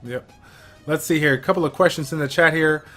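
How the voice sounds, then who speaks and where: A man's voice: a little high, a little fast, sharp tone, soft background music. Chatty man hosting a game livestream. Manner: chatty, many fillers, reads viewer questions aloud. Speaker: stream host. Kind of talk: casual livestream chat, reading chat questions.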